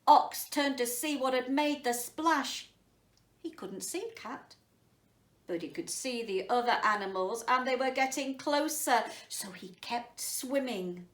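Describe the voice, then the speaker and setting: A woman with a fairly high voice reads to children at a slow pace. She speaks to her young listeners directly, as at a school storytime. The recording is roomy, picked up some way from the mic. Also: expressive, a bit bright. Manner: unhurried, addressing children directly. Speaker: headteacher reading to children. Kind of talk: children's storytime reading and explaining. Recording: roomy echo, distant mic.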